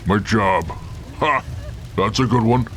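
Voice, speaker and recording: A man's voice: fairly low pitch, a bit bright, a little hiss or hum. An actor playing a despairing, drunken, centuries-weary Santa gunman who taunts knowingly in an audio drama. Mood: bitter. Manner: slow, brooding, menacing.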